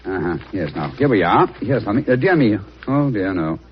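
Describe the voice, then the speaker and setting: An actor playing an eager, self-assured English gentleman detective, precise and theatrical, in a 1940s radio drama. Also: lowish voice, sing-song, a little fast, slightly rough. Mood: musing. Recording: muffled, hissy vintage radio recording.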